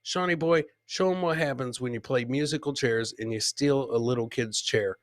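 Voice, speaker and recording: A man's voice: medium pitch, moderate pace, dry recording. A man hosting a live comedy clip show, joking and teasing as he comments on videos and repeats his punchlines.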